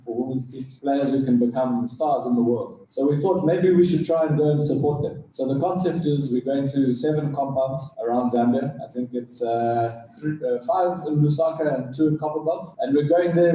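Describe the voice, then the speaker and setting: A male executive speaks unscripted at a launch, hesitating and hunting for words. His dark voice is picked up from a distance in a very echoey room. A faint murmur sits underneath. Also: medium pitch, normal speed, a little hiss or hum. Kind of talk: recorded sound bite. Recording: roomy field recording from a distance.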